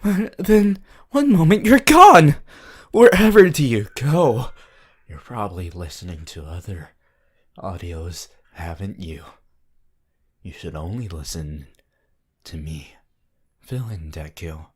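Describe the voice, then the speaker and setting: Woman as possessive yandere lover, unhinged, clingy, very slow drawn-out delivery, highly animated pitch, ASMR roleplay. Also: slightly rough, exaggerated voice. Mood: wounded, jealous. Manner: clingy, unhinged, swinging from sing-song pleading to hushed threats. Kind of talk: ASMR boyfriend roleplay audio.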